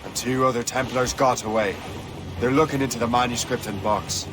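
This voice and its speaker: An actor playing a brooding, sardonic young assassin in a video game drama. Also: male voice, mid-range voice, fairly quick, background noise, lightly acted.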